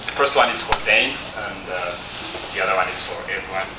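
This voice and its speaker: Lower-pitched man, echoey and distant. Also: brisk. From Eastern Congo. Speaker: audience questioner. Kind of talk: informal question to a panel. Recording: echoey, distant mic, noisy room.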